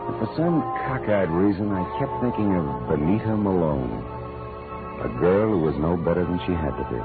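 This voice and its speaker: Wry American private eye, lively delivery. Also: male voice, low voice, normal speed. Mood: weary, reflective. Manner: sardonic, world-weary, slangy. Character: wry, hard-boiled male private detective, narrating his cases. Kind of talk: 1940s radio noir acting and narration. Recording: muffled vintage radio recording, music behind.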